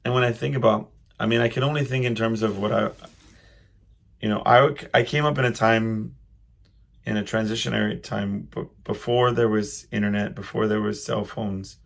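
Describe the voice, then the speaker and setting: A middle-aged man hosting a solo podcast, reflecting casually and haltingly as he thinks aloud in a loud voice. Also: on the low side, steady tone, gravelly. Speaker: podcast host.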